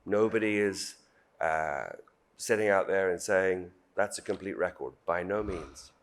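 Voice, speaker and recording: A man with a British accent and a very low voice speaks formally on a panel. He is measured and diplomatic, leaning on key phrases as an official would. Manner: measured, diplomatic, emphatic repetition.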